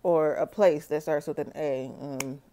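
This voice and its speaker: A woman doing a solo tarot reading for her viewers, talking slowly and checking in with "okay?". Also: deep voice, steady tone, warm tone. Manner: slow, measured, repeats "okay?" to viewers. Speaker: tarot reader.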